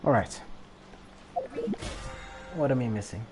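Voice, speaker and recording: A man's voice: medium pitch, takes it slowly, music in the background. A man talking casually as a live game streamer, rambling and often repeating his phrases. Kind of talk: casual gaming livestream chat.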